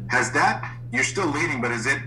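A man's voice: mid-range voice, expressive, normal speed, bright, forceful tone, a little hiss or hum, low-quality recording. A man asking a question as a sports reporter, conversational and rephrasing as he goes. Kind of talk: asking press conference questions.

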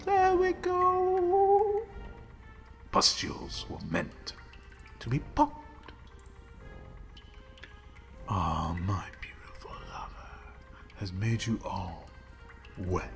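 A man's voice: deep voice, slow pace, gravelly, pauses often, a bit bright, full character voice. A man voicing an obsessive, contemptuous male villain who breeds a plague, talking in menacing whispers and sing-song repetition over faint music. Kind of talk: villain roleplay audio drama.

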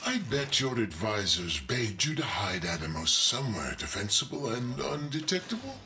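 Smug, sardonic scientist, very animated. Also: male voice, medium pitch. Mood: smug taunting. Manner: sardonic, taunting, deliberate. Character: smug, clever male scientist-investor, defiant former protégé. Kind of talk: dramatic video game acting. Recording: distant voice under loud game noise.

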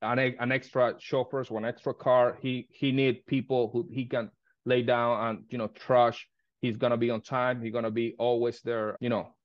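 Spanish accented guy, limo owner, halting and repeating words, dry muffled call audio. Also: mid-range voice, little pitch movement, no room sound. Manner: halting, repeats words, non-native grammar. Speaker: limo business owner, guest. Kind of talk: casual interview on a video call. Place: living room at home. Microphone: phone camera on a video call.

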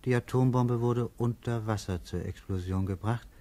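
A man reading interludes as a radio play narrator, measured and grave. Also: medium pitch, dark tone, natural voice. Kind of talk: solemn scripted radio narration.

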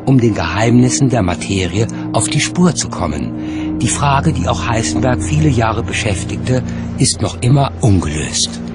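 Guy narrating a documentary, music clearly playing under him. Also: medium pitch.

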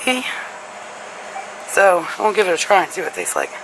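A woman's voice: on the low side, huge pitch swings, normal speed. A woman vlogger chatting casually to her viewers as she browses the aisles of a large store, filmed on a handheld camera. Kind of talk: casual shop-along commentary. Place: inside a large discount store. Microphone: handheld camera carried while walking. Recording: store background noise. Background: store ambience.

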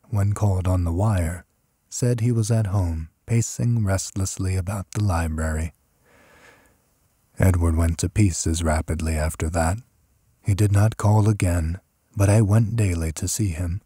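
Slow, dark-voiced male horror narrator. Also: deep voice, breathy edge, dry recording, studio quality. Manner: measured, solemn. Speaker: audiobook narrator. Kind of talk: scripted narration of a horror story.

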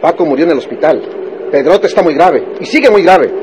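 A man's voice: a little high, lively intonation, fairly quick, natural voice. A man playing a ruthless, impatient gangster henchman, menacing and emphatic in old radionovela style. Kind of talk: Spanish-language radionovela acting. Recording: noisy old radio drama recording.

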